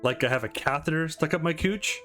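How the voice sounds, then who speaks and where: A man's voice: fairly high pitch, fairly quick, a bit bright, faint music behind, natural voice. Man reading Amanda, a blunt, cheeky young woman. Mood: dry, blunt.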